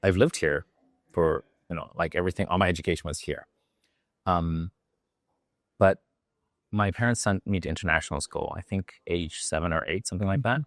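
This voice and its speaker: Podcast guest: Japanese man, learned American accent, very low pitch, chatty, dry sound. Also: fairly quick, pauses often, no echo. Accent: American, learned from an acting coach. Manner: loose and talkative, fillers and restarts. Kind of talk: podcast interview.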